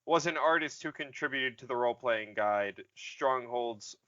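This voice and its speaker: A man co-hosting a casual comedic podcast, joking, swearing and halting as he goes. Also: medium pitch, a bit bright, dry recording, lo-fi sound. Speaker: podcast host.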